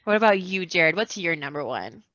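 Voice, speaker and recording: A woman with a bright, forceful voice chats as a podcast guest. She rambles informally, and the audio is dry and somewhat dull. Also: fairly low pitch, expressive, normal speed, dry recording. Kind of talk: casual podcast chat.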